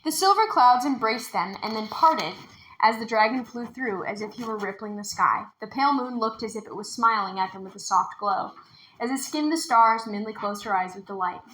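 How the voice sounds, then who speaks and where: A woman's voice: on the high side, animated, moderate pace, a bit bright, roomy sound, slight background noise, low-quality recording. A woman reading a storybook aloud expressively as a storytime narrator. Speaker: storytime reader.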